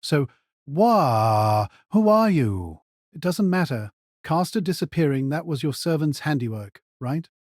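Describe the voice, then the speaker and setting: A man's voice: on the high side, very animated, unhurried, dry recording, studio quality. A man voicing a coolly commanding male strategist in an audio drama, terse and businesslike. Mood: brusque, questioning.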